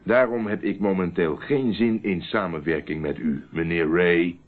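A man's voice: sits low, moderate pace. A man acting in a radio play as a stubborn, evasive investigator, answering curtly and dryly. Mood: defiant. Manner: curt, dry, stubborn. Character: defiant, evasive male amateur investigator under police questioning. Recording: muffled, narrow-band old radio recording.

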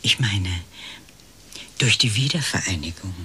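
An actress playing a polite, hesitant elderly lady, a detective's client in a radio crime drama, speaking in measured, breathy phrases. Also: a bass voice, expressive, sharp tone, a little hiss or hum, heavily acted. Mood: hesitant, explanatory. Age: 60s or older.

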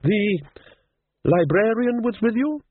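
Casting: male reader as irascible boss wizard for storybook, gruff and emphatic, pitched up, husky, boxy echo. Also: expressive, slow pace, heavily acted. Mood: impatient. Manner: gruff, demanding, heavy emphasis. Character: brusque, irascible head wizard, senior and commanding. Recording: boxy, echoey, phone-like audio.

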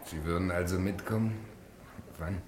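A man's voice: sits low, fairly even intonation, normal speed, close to an everyday voice. An actor playing a shy, earnest, polite small-town man in a German radio play, plain-spoken and hesitant. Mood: bashful, hopeful. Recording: echoey room, faint background noise.

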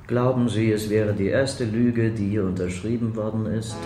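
An actor in a radio drama playing a patient, sceptical police interrogator with a measured, official manner. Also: male voice, on the low side, steady tone, over music, natural voice. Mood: firm, sceptical. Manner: measured, official, matter-of-fact. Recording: echoey room with faint background noise.

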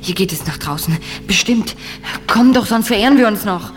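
A woman's voice: mid-range voice, lively intonation, moderate pace, noisy background, lightly acted. A woman playing a nervous, reluctant teenage boy detective in a German audio drama. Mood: frightened.

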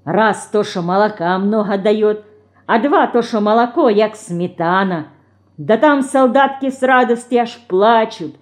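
A woman voicing an excited, gossipy peasant girl from a Ukrainian village, with a Ukrainian accent, in a storybook reading. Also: medium pitch, measured pace, close to an everyday voice.

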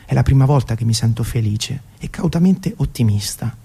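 Mellow, husky man narrating for radio. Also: mid-range voice, animated. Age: 30s. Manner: measured, poetic delivery. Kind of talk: scripted, literary radio narration. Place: radio broadcast.

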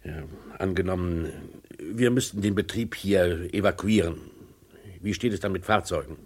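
Mine boss, radio drama, low pitch, husky, commanding, deliberate, distrustful. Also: male voice. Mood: commanding, cautious. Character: authoritative, distrustful male mine company boss. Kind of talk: old German radio crime play.

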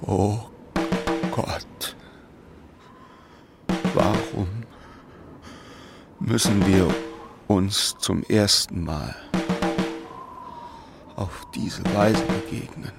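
An actor in a radio play playing a sorrowful, resigned condemned man, speaking gravely in halting, breathy phrases. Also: lowish voice, exaggerated voice. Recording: loud percussive music underneath.

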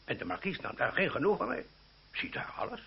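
A man's voice: a little high, very animated, a little fast, vocal fry. A man acting in an old radio play as a blustering older uncle, easily indignant, stammering when flustered. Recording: muffled, slightly noisy old radio recording.

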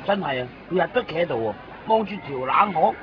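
A high, swooping male voice with a Hong Kong accent, gushing and rambling like a scatterbrained gossip. It is a dull-sounding radio comedy scene with a crowd clearly behind. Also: expressive, quick pace. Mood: gossipy. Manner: rambling, exclamatory. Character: excitable, chatty, scatterbrained comic man, gushingly friendly. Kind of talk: comic radio drama dialogue. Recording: muffled radio recording, noisy crowd behind.

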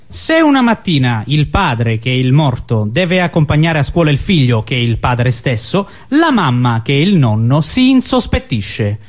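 A man's voice: medium pitch, big pitch swings, moderate pace, hardly any pauses. A man performing scripted absurdist theatre in a mock-solemn, declamatory way. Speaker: stage performer.

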